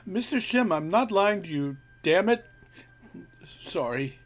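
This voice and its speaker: A man's voice: sits high, expressive, measured pace, soft background music, pitched above the performer's own voice. A man reading an audio story, voicing a frightened teenage girl who insists she was abducted and pleads to be believed. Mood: upset. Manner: pleading, defensive, swearing in frustration.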